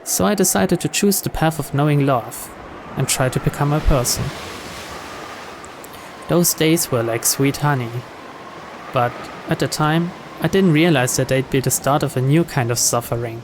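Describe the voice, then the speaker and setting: A man's voice: fairly high pitch, normal speed. Man reading a story over background noise. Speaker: video game player reading the story aloud. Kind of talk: dramatic narration of a visual novel read aloud.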